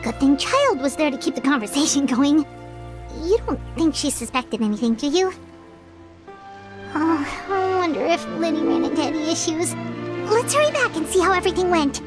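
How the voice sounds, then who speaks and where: A squeaky, very high child-sized game companion, chatty and good-hearted. The delivery swings widely and stumbles anxiously over words, with music playing clearly behind it. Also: female voice, very animated, normal speed. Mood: uneasy, curious. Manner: stammering, refers to self in third person. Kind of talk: video game voice acting.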